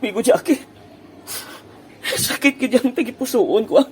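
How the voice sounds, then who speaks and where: A woman's voice: a little high, measured pace, slight background noise. A woman acting a lovesick part in an amateur audio drama, playful and teasing, then heartbroken and pleading.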